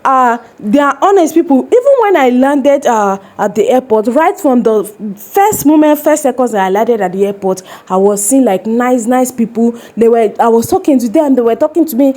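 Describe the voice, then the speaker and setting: Young Nigerian woman, YouTube vlogger, animated storyteller, slightly higher register. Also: normal speed, few pauses. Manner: lively storytelling with Nigerian English phrasing. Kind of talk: casual reaction vlog.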